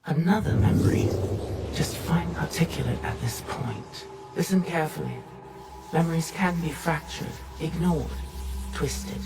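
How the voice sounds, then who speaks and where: An actor playing an ominous, composed guide to memories in a video game, speaking in a measured, enigmatic manner. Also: male voice, a little high, vocal fry, background noise. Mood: cryptic, composed. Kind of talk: scripted game narration. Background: music.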